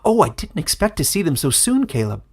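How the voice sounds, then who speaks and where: A small, cheerful furry forest creature chatters along in a high, lively voice, as a man puts him on. He sounds gentle and wise, with a gravelly, husky edge. The voice climbs well above plain speech. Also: a little fast, exaggerated voice. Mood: excited.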